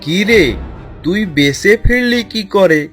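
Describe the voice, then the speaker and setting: A man's voice: high-pitched, expressive, slow, fairly bright tone, soft background music, full character voice. A man voicing a greedy, bullying village schemer in a cartoon fairy tale, with a taunting drawl.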